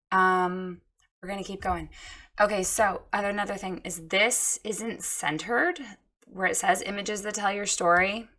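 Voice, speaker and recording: A woman with a hoarse, froggy voice giving a frank, chatty critique of a website, with many ums and restarts. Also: mid-range voice, pushed and bright. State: hoarse, recovering from lost voice. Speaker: website reviewer giving feedback. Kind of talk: casual spoken critique.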